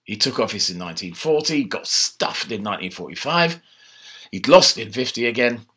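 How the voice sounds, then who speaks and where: An older man giving a casual, animated history lecture from his home study, speaking into a laptop webcam. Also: lowish voice, moderate pace, slightly rough. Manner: animated, conversational, gesturing with his hands. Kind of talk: informal online history talk. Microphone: laptop webcam mic.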